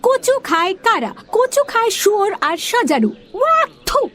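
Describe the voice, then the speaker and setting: An excitable jackal lawyer from a children's audio story. The voice is extremely high and swoops about as it argues with scorn. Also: female voice, unhurried, heavily acted. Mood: scornful, excited. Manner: squeaky, scornful arguing.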